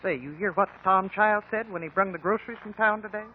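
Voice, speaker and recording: A woman's voice: medium pitch, fast talker, a little hiss or hum, lightly acted. An actress playing a plain-spoken, placid rural American farm mother in a 1950s radio drama. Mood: placid, reassuring. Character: folksy farm mother soothing her fretful daughter. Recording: tinny narrow-band old radio recording.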